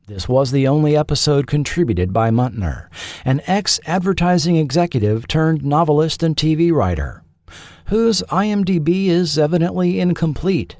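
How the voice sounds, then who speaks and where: A man hosting and narrating a scripted documentary feature in a measured, articulate way. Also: a little high, lively intonation, a little mellow, no echo, clean studio sound. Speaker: podcast host and narrator.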